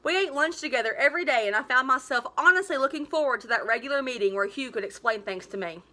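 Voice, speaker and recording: A woman in her thirties reading a story aloud like a teacher, with emphatic, expressive delivery. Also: sits high, fast talker. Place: living room at home, indoors.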